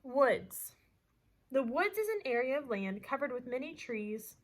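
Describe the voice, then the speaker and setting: A woman's voice: sits high, a little slow. A woman teaching young children, reading a picture book expressively and talking to them with questions. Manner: asks listeners questions, animated storytelling delivery.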